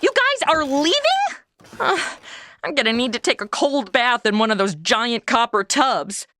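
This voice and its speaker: A woman's voice: a little high, big pitch swings, moderate pace, sharp tone, dry recording, studio quality. A woman with a bubbly, flirty, self-mocking manner who hosts a comedy audio drama and plays its brassy lead. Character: flirty, brassy modern woman out of her time. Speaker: podcast host and actress. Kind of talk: comedy acting and chatty outro.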